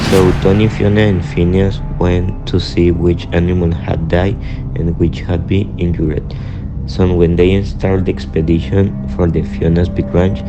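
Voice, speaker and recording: A young man narrating a scripted amateur radio drama in halting, uneven phrases. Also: low voice. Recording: dull lo-fi sound, music behind. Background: music.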